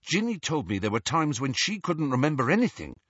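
A man's voice: medium pitch, sing-song, a little fast, a bit bright, breathy edge, no room sound, muffled recording, pitched above the performer's own voice. A man voicing a shaken, stubborn and defensive teenage wizard boy in an audiobook story.

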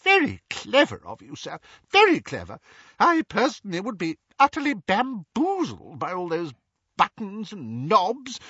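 A man's voice: huge pitch swings, measured pace, pushed and bright, breathy edge, no room sound, dull audio, full character voice. A man doing a fussy, fawning old wizard. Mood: flattering. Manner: effusive, fawning, high-pitched. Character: excitable fussy elderly male wizard.

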